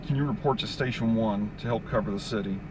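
A man working as a fire incident commander, giving procedural, clipped instructions in radio dispatch style. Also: fast. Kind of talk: fireground radio dispatch talk. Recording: noisy outdoor scene, vehicle rumble.

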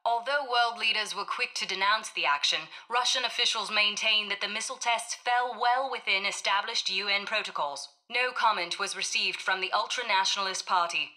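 Bright-voiced British female news anchor played by a woman. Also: medium pitch, no room sound, close to an everyday voice. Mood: composed, serious. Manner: formal, measured, clearly articulated delivery. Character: formal, composed female BBC-style news anchor. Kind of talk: scripted television news bulletin.

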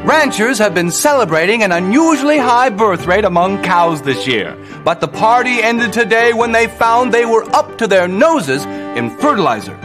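A pompous medieval news anchor delivers a parody video game bulletin. His voice is high and theatrical, with lively intonation over music. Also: normal speed, hardly any pauses. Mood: arch, deadpan. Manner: theatrical, deadpan newsreader delivery.

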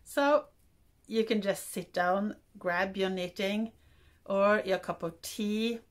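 An older woman from Norway with a Norwegian accent, chatting to camera in a halting way as she searches for English words, in a wooden room indoors. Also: mid-range voice. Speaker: vlogger. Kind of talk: casual chat to camera.

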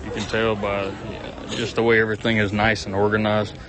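A man's voice: on the low side, level tone, brisk. A man, chatting casually and enthusiastically through a handheld phone vlog, rambling with plenty of ums and uhs while walking around an outdoor pumpkin farm. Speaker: vlogger. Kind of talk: casual walk-and-talk vlog. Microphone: handheld phone camera. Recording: crowd noise outdoors. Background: crowd chatter.

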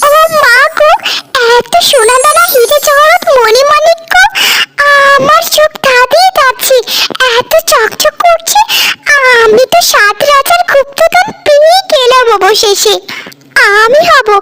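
Greedy cartoon schemer, piercingly high voice, a woman acting. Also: a bit bright, slight background noise, exaggerated voice. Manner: boastful, exclaiming. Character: greedy, gloating, scheming treasure hunter.

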